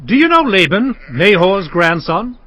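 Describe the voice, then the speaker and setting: An earnest young biblical suitor, with a high, forceful voice that swings widely. He declaims with feeling, as in a scripture audio drama with music. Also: big pitch swings, measured pace, full character voice. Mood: friendly, eager. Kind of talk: dramatized audio Bible acting.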